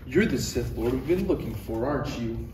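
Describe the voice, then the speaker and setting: A man's voice: mid-range voice, animated, moderate pace, lightly acted. A man playing an earnest, easily exasperated space knight master in a parody table read. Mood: alarmed defiance. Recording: echoey room, distant mic, faint noise.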